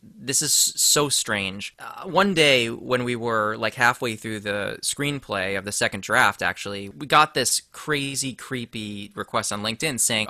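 A man from Connecticut, a screenwriter being interviewed, telling a story in a casual, excitable and informal way. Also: on the low side, no room sound. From Connecticut, United States.

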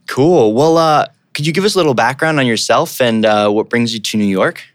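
A man hosting a podcast interview, speaking in a relaxed way with many fillers. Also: mid-range voice, brisk, no echo. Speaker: podcast host.

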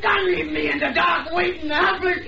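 An actor playing a terrified, trapped villain, wailing in panic in an old-time radio horror play. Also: male voice, very high voice, steady tone, normal speed, gravelly, raised voice, recorded from a distance, crowd noise. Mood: panicked terror. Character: terrified trapped villain screaming helplessly about a rat. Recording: muffled, noisy, echoey old radio recording.

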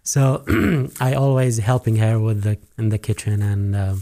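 A man's voice: on the low side, a little slow, mellow. A man from Iraq, a restaurant co-owner, answering interview questions hesitantly with many fillers.

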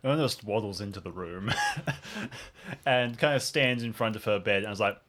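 A man's voice: on the low side, moderate pace, a bit bright. A man playing in an improvised audio role-play, casually describing what his character does.

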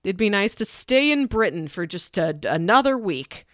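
Very animated woman, close dry sound. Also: medium pitch, moderate pace, no room sound. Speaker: podcast co-host. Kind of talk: casual chat.

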